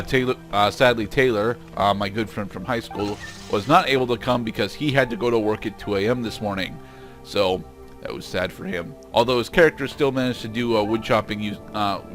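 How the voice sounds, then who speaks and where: A man giving casual game commentary in a halting, hesitant way. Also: lowish voice, a bit bright, faint music behind. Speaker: let's play co-commentator.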